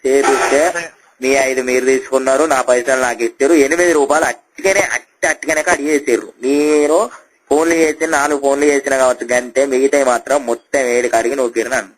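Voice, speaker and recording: A man's voice: mid-range voice, fairly even intonation, fast. A man with a Telangana Telugu accent on a phone call, complaining to a helpline in a repetitive, argumentative, foul-mouthed way. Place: telephone call.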